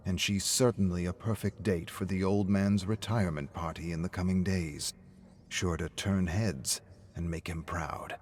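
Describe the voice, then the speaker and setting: Fairly low-voiced male story narrator. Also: darkish tone, a little hiss or hum. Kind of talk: scripted dramatic story narration.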